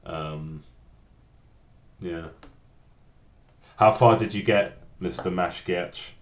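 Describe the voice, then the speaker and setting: A man's voice: deep voice, drawn-out words, reverberant. A man in his late thirties chatting casually while coding on a livestream, rambling and restarting his sentences with a self-deprecating tone. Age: 30s. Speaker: game developer streaming.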